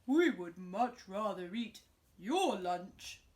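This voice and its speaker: A woman voicing a greedy, hungry wolf in a children's picture book, with slow and emphatic stretched words. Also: mid-range voice, expressive, darkish tone. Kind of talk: children's storybook character reading.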